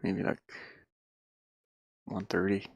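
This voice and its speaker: A man thinking aloud in a casual, halting mutter as he works on a game at his computer, talking mostly to himself. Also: low voice, completely flat delivery, gravelly, breathy edge, no echo. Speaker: hobby game developer. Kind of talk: casual think-aloud screen-recording commentary.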